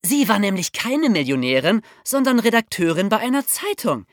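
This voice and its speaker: Lively German woman telling a story. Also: medium pitch, moderate pace, dry recording, clean studio sound. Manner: lively, laughs often, wry asides. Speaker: podcast host. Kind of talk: casual storytelling chat.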